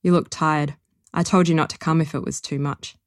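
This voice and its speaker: A woman reading aloud as a gruff, smug older man who grumbles in a dry, stony way. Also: sits low, fairly even intonation, moderate pace, no room sound, natural voice. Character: gruff old bookish man, blunt and smug.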